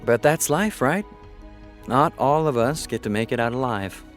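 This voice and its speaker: A man's voice: medium pitch, expressive, moderate pace, soft background music, lightly acted. A man playing a reflective, earnest show creator giving a solemn documentary-style interview.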